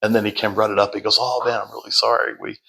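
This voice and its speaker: A man telling his story as a podcast guest in a loud, projecting voice, unhurried, with many fillers and restarts. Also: on the low side, level tone, vocal fry, husky. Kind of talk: casual storytelling.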